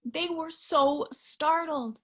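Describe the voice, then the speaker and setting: A woman reading a picture book aloud to young children, expressively, pausing to put questions to her listeners. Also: high voice. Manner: expressive, animated, with hushed asides and questions to listeners. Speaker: storybook reader.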